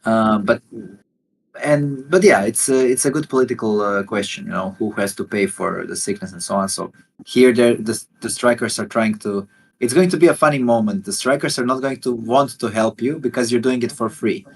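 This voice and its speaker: A man, a game developer, walking through his game in a livestream interview and talking haltingly with many fillers and restarts. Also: mid-range voice, creaky, a little hiss or hum. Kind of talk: explaining and demoing a game during a livestream interview.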